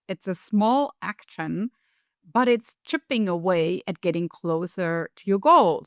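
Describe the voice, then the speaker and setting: A woman's voice: mid-range voice, no echo, clean studio sound. A middle-aged woman from Europe with a European accent, speaking as an interview guest and coach in an upbeat, emphatic, motivational way. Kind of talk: conversational interview.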